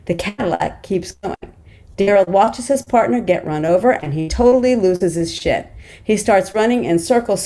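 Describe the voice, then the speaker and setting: A woman with a soft-edged, mellow tone and middle pitch narrates a screenplay. She reads the action and scene headings at a table read. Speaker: stage-direction narrator.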